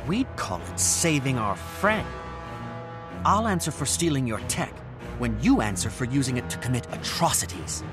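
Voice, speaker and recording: A man playing a steady, dutiful gnome-like adventurer in a video game, earnest with a wry streak. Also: medium pitch, normal speed, music in the background, close to an everyday voice. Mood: resolute. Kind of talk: video game cutscene acting.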